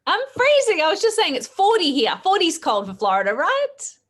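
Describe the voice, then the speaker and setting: A woman with an Australian accent hosting a live podcast chat over a video call, her voice a bit croaky, chatty and quick to laugh. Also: extremely high pitch, wildly swooping pitch. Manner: chatty, laughs often, fillers. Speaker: podcast host. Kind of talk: casual live-stream chat.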